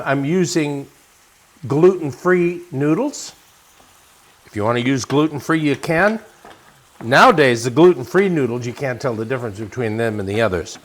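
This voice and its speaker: A man's voice: mid-range voice, fairly bright tone, a little hiss or hum. American man, folksy cooking show host. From Wisconsin, United States. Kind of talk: casual cooking demonstration and chat.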